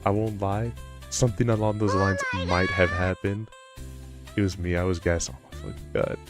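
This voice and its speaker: A man with a low voice chatting casually on a live stream, rambling and self-deprecating. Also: normal speed. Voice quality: low-pitched voice. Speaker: livestreamer. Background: background music.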